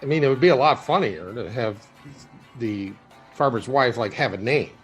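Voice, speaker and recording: A man's voice: medium pitch, lively intonation, moderate pace, soft background music, close to an everyday voice. An actor playing an inquisitive, obsessive male writer with a wry, pedantic manner in naturalistic audio drama dialogue. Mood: pedantic teasing.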